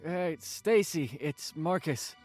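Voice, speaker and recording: A man playing a worried boyfriend in an audio drama, speaking in a hesitant, halting way. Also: a little high, expressive, a little mellow, faint music behind. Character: worried, hesitant boyfriend searching for missing girlfriend.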